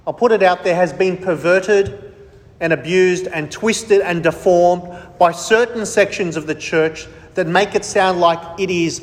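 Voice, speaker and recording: High-voiced preacher in an echoey hall. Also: male voice. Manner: emphatic, asks the congregation questions and answers them himself. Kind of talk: sermon.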